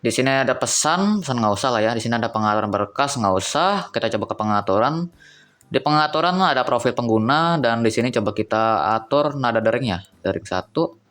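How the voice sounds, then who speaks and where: A man's voice: mid-range voice, lively intonation, a little fast, fairly bright tone. Chatty man reviewing a phone. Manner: chatty, thinks aloud, addresses viewers as guys. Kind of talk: casual phone review vlog.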